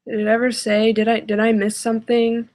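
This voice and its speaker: A woman's voice: on the high side, monotone, brisk, darkish tone. A woman giving casual reaction commentary in a hesitant, exasperated way. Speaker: reaction video host.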